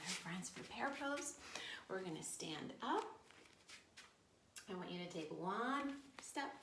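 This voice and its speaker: A woman teaching yoga storytime to young children, encouraging and playful, with expressive storytelling and hushed, breathy moments. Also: mid-range voice. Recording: roomy echo with faint noise.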